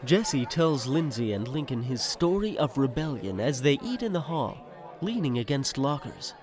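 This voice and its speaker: A man's voice: medium pitch, very animated, normal speed, over music. A man giving wry, dramatic scripted narration with storytelling asides to the listener.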